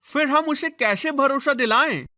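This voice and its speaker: A man voicing a concerned young father in an animated story, speaking in an earnest, obliging way. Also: very high-pitched, unhurried, full character voice.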